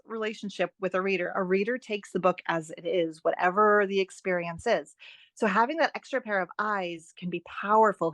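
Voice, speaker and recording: A woman guest in a conversational interview, giving advice in an animated, emphatic and encouraging way with playful asides. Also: medium pitch, no echo. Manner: animated, emphatic, repeats phrases for stress. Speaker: podcast guest, writing and editing expert.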